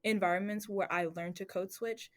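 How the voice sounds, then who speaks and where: A woman's voice: mid-range voice, steady tone, normal speed. A young woman talking casually on a student podcast, reflective and rambling, with many fillers and restarts. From Atlanta family.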